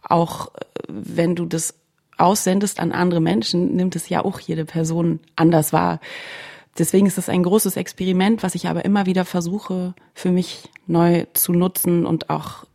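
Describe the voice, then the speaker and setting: A woman's voice: on the low side, flat delivery, normal speed, vocal fry, warm tone. A woman from Germany, a musician being interviewed, speaking reflectively and searching thoughtfully for her words. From Berlin, Germany.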